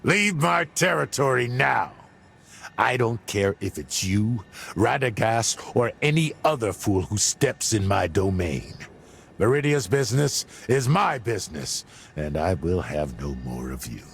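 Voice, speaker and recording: A proud older warlord in a dramatic game scene. The voice is gravelly, with slow phrases that rise and fall sharply. He is imperious, bitter and full of scorn. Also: on the low side, very animated, fairly bright tone, full character voice. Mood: furious, commanding. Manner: imperious, scornful, deliberate emphasis. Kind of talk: dramatic video game acting.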